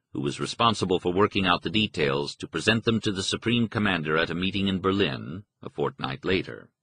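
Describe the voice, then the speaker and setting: Male history audiobook narrator. Low, bright and forceful, formal scripted read with measured, articulate phrasing. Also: slightly rough, dry recording.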